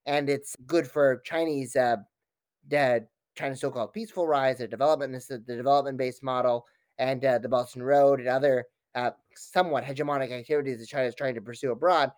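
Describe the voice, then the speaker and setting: A man's voice: mid-range voice, steady tone, a little fast, dry recording. A man speaking as an expert guest in an interview, giving analytical, wordy answers with frequent false starts.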